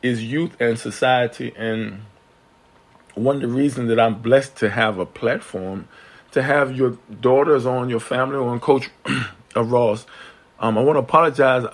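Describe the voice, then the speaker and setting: A man hosting a late-night podcast, hoarse from a sinus infection, speaking haltingly with many ums and formal, respectful questions. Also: medium pitch. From East Coast of the United States. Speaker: podcast host.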